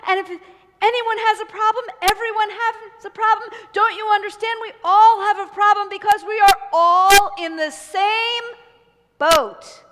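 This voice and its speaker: A woman voicing a proud prince who has become frightened, pleading in a high, near-shouting voice. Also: steady tone, measured pace, a little hiss or hum. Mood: panicked. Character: proud prince turned panicky and fearful. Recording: echoey hall with long reverb.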